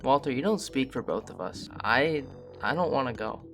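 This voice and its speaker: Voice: medium pitch, lively intonation, moderate pace, gravelly, fairly bright tone, faint music behind. A tabletop game player improvising dialogue in character, in a casual conversational style.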